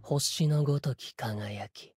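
A man voicing a solemn, cryptic sword spirit warrior in a Japanese game, with measured, portentous, archaic phrasing and a breathy voice. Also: medium pitch, soft background music, natural voice. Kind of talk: Japanese game character voice lines.

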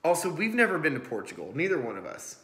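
A man's voice: on the high side, huge pitch swings, fast, sharp tone. A man in his thirties hosting a casual cooking vlog, explaining as he goes with a self-deprecating streak. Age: 30s. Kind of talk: casual chatty cooking commentary. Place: home kitchen, indoors. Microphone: iPhone propped on a stack of books. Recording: roomy, echoing kitchen sound.

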